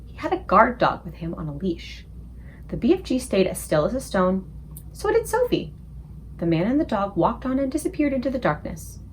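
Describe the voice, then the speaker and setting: Animated woman reading a children's story aloud. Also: fairly high pitch, slight background noise, rough recording. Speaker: read-aloud book club reader. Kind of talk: expressive children's story narration.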